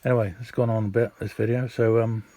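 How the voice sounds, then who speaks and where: A man's voice: lowish voice, flat delivery, quick pace, dark tone. A middle-aged or older British man giving a casual, unscripted hobby demonstration in a rambling, hesitant, easygoing way. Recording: clearly noisy amateur home recording.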